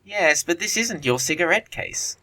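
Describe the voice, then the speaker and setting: A man acting a witty, teasing young English gentleman in an audio play of a period comedy, with an arch, theatrical delivery. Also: a little high, lively intonation, normal speed. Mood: probing, amused.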